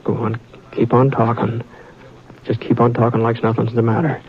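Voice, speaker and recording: A calm steady cowboy. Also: male voice, medium pitch, slightly rough. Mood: tense. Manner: calm, measured, reassuring. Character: calm steady cowboy, drawling frontier narrator. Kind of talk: 1950s radio drama acting. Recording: dull old radio transcription with music.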